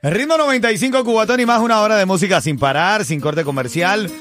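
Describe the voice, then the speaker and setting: Upbeat Cuban radio host over music. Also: male voice, high-pitched, fairly bright tone. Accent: Cuban Spanish. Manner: upbeat, quick announcer delivery. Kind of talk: morning radio hosting and news. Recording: radio show with music under the voice.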